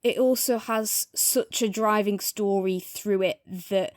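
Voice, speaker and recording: A woman's voice: medium pitch, fairly even intonation, a little slow, no echo, clean studio sound. A woman co-hosting a podcast, chatting casually and enthusiastically as she gives a review. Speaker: podcast co-host reviewing audio drama.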